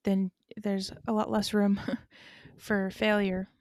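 Steady, even-toned woman. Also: mid-range voice, takes it slowly, no echo, clean studio sound. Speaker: podcast co-host. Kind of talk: casual faith discussion.

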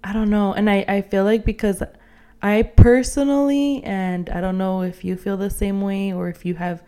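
A woman's voice: medium pitch, fairly even intonation, normal speed, dark tone, clean tone. A woman co-hosting a podcast in casual personal chat, thinking aloud in a hesitant, conversational way. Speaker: podcast co-host.

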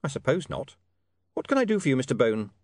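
Man playing a wry, precise, lively gentleman. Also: mid-range voice, fast talker, dry recording, studio quality. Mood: polite, curious. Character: dry, pedantic, quick-witted gentleman newspaperman.

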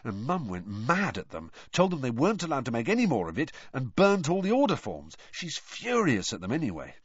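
A man's voice: medium pitch, wildly swooping pitch, brisk, slightly rough, no echo, pitched above the performer's own voice, heavily acted. A sarcastic teenage boy who grumbles and jokes drily, voiced by a man reading a storybook. His voice bounces up and down. The recording is dry and slightly dull. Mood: explaining.

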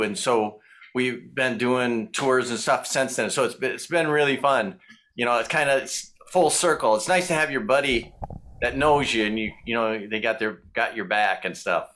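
Middle-aged Michigan man, bright forceful tone, rambling guest who chuckles between thoughts. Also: medium pitch. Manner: rambling, chuckling, loose storyteller. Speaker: guest musician. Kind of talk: casual interview chat.

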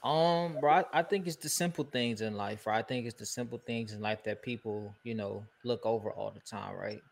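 A man's voice: fairly low pitch, moderate pace, creaky. A young man from Houston co-hosting a casual podcast, talking in a laid-back way full of filler phrases. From Houston, Texas. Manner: laid-back, peppers talk with "you know" and "bro". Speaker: podcast co-host.

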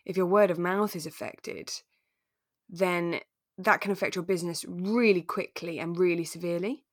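A woman's voice: fairly low pitch, fairly even intonation, normal speed. A woman co-hosting a podcast in casual, conversational chat, often acting out little imagined remarks. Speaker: podcast co-host.

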